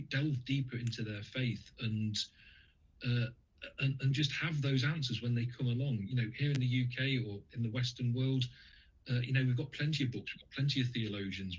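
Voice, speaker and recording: A man with a husky, slightly breathy voice, speaking as a radio guest. He pauses often, restarts his sentences and fills gaps with ums and uhs. The sound is dull and lo-fi. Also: medium pitch. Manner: hesitant, many fillers and restarts. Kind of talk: radio interview, conversational.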